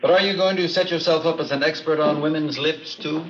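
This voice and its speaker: An actor playing a needling, sardonic defense attorney in an old radio drama, cross-examining in a mocking, knowing way. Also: male voice, fairly high pitch, fairly even intonation, fairly quick, natural voice. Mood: sardonic, amused. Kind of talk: 1950s radio drama acting. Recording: muffled, clearly noisy old radio recording.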